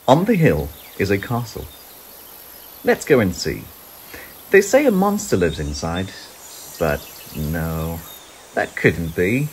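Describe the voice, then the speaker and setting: Slow, highly animated children's storybook narrator. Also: a little hiss or hum. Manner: dramatic, suspenseful, deliberate phrasing. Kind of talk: expressive children's read-aloud storytelling.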